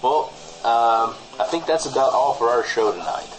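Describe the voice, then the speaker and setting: A man playing a cautious small-town radio host, reassuring and a little hesitant, bantering in deadpan style on a comic audio show. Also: medium pitch, normal speed, music in the background, lightly acted, poor audio. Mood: measured.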